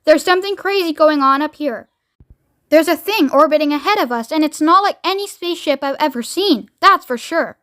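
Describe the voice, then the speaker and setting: Woman as an excitable, high-pitched male astronaut. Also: normal speed, dry recording, clean studio sound. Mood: alarmed. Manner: excitable, blurting, emphatic. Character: flustered, cheeky male astronaut reporting from orbit. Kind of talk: children's audiobook character voice.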